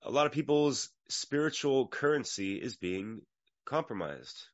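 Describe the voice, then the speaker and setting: A man with a slightly hoarse voice, talking casually and reflectively in a halting, chatty, wry way. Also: medium pitch, lively intonation, no room sound. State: slightly hoarse from little sleep. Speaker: panel speaker. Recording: dull lo-fi audio.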